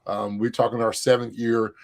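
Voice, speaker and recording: A man's voice: on the low side, little pitch movement, moderate pace, gravelly. A man co-hosting an online HR event over a video call, in an upbeat, joking, casual manner with frequent fillers. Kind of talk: casual livestream chat.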